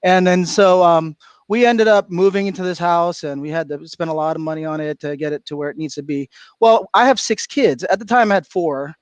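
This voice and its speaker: A middle-aged man from Florida, a guest on a livestream, telling stories in an animated way and laughing often. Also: on the high side, fairly quick, smooth, dry recording. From Florida, USA. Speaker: guest speaker. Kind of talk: casual livestream chat. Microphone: video call.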